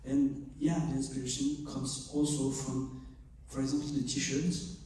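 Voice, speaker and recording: A choreographer from Morocco, answering audience questions after a show and searching for English words. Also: male voice, mid-range voice, monotone, unhurried, dark tone, recorded from a distance, a little hiss or hum. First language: not English. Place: theater stage. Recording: echoey theater heard from afar.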